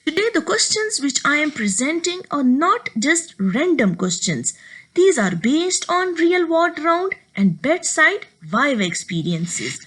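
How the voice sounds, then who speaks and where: A woman teaches a medical lecture, walking through each point step by step. Her voice sits high and moves a lot, rising and falling through the sentences. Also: unhurried, low-quality recording. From Pakistan. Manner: steady explaining, step by step.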